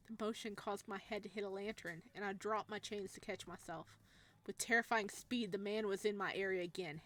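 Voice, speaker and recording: A woman's voice: mid-range voice, fairly bright tone. Woman doing a visual novel playthrough, reads it out n reacts, kinda giggly and playful. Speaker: let's player narrating a visual novel. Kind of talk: reading game text aloud with casual commentary.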